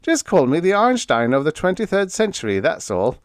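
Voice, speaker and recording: Sci-fi audiobook sidekick, voiced by a man: enthusiastic male engineer, pitched up, animated, jokey. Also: fairly quick, clear, resonant tone, dry recording, clean studio sound. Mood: joking modesty. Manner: exclamatory, boyish enthusiasm. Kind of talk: audiobook character dialogue.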